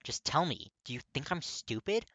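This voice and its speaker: A man voicing a gruff, hot-tempered young man awkwardly confessing his feelings in an audiobook, blunt, halting and strained. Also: fairly high pitch, very animated, bright, forceful tone, no room sound, voice pushed up, full character voice. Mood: demanding. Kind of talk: dramatic audiobook character reading.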